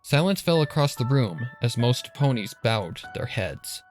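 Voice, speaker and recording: A man's voice: medium pitch, sing-song, measured pace, soft background music. A man reading scripted dramatic story narration for a fan fiction.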